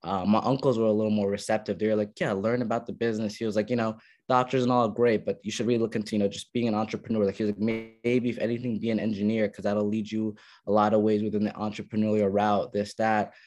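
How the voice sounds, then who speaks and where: A man's voice: on the low side, flat delivery, fast talker, few pauses, darkish tone. A young man from Ethiopia, a podcast guest casually telling his story from his college dorm with many fillers. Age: young adult. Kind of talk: casual interview chat.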